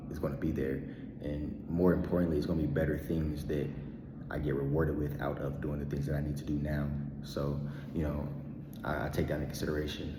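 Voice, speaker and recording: A man's voice: very deep voice, reverberant, long reverb tail, background noise. A man of twenty talking casually to the camera in a laid-back, slangy, rambling way, with frequent ums and laughs. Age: 20s. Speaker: vlogger. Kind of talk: casual personal vlog monologue.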